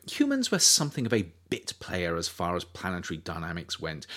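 A man reading his own nonfiction book aloud as audiobook narration, measured and thoughtful, lifting his voice on key phrases. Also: lowish voice, fairly bright tone.

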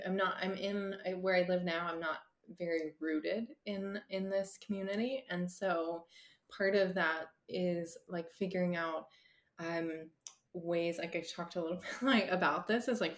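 A woman's voice: fairly low pitch, fairly even intonation, normal speed. A woman therapist, a podcast guest, talking casually with many fillers, restarts and easy laughs. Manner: laughs easily. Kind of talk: casual conversation.

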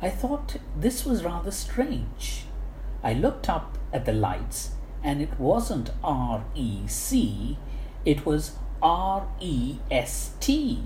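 A woman reading a humorous first-person anecdote aloud with measured, wry understatement, like a storyteller. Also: low-pitched, sing-song, mellow. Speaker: guest reader of book excerpts. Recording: roomy echo, faint background noise.

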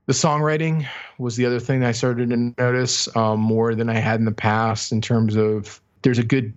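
A man's voice: mid-range voice, flat delivery, moderate pace, dull audio. A man co-hosting a podcast, giving a reflective review of music in casual chat as he feels his way through his thoughts. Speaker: podcast co-host.

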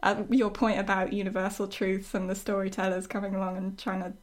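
A woman talking casually and reflectively as a writer discussing her work, often halting as she thinks aloud. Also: medium pitch, little pitch movement. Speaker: writer discussing her own storytelling project.

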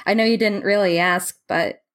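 A woman acting in an audio play as an earnest, dreamy mapmaker, speaking reflectively and pausing between phrases. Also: mid-range voice, steady tone, natural voice. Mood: reserved, hesitant. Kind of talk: audio play monologue and dialogue.

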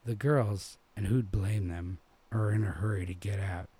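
A man from New England reading a literary short story aloud as an expressive narrator. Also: on the low side, unhurried, husky. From New England, United States. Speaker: reader.

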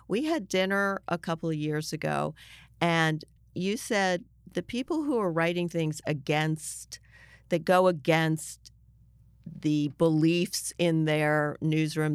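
A woman's voice: low voice, clear, resonant tone, no room sound, clean studio sound. A woman journalist speaking as a guest in a conversational interview, earnest and emphatic as she makes her points.

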